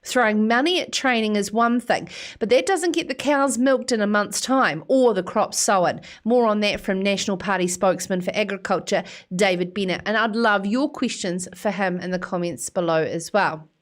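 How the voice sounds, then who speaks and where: A woman's voice: medium pitch, normal speed, few pauses. A woman hosting a live farming show, chatty and teasing, often breaking into laughter. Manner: bubbly, teasing, frequent laughter. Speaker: host.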